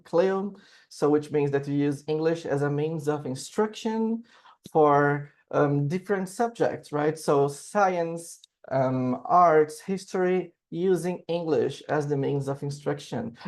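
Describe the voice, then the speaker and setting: A man's voice: fairly high pitch, darkish tone. A man from Brazil with a Brazilian Portuguese accent, presenting to teachers over a video call and often checking in with the audience. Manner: explanatory, often checks in with "right?". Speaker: teacher and panel speaker. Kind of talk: webinar presentation.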